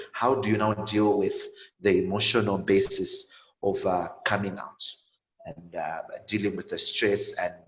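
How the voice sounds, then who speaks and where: A young man in his twenties, from sub-Saharan Africa, tells his own story on an online panel. His voice sits very low, and he talks haltingly with many repeats and fillers. His call comes from an echoey room. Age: 20s. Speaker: panel guest.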